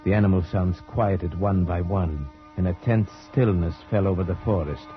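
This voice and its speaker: An actor playing an uneasy, loyal hunter who tells a suspense tale in deliberate, dramatic old-time radio style. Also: male voice, deep voice, fairly even intonation, lightly acted. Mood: hushed suspense. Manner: deliberate, dramatic first-person storytelling. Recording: muffled old radio drama recording, music behind.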